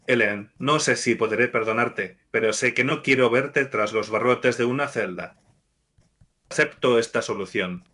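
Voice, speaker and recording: An actor playing a betrayed, honourable Victorian husband, sorrowful, solemn and formal, in an audio drama. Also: male voice, mid-range voice, unhurried, bright, forceful tone. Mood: wounded, resolved.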